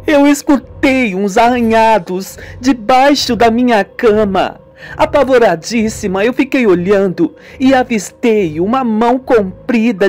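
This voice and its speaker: A woman's voice: fairly high pitch, a little mellow, pitched above the performer's own voice. A woman voicing a terrified, tearful young woman in a radio soap opera, pleading and exclaiming melodramatically. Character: terrified, tearful young sister haunted by death.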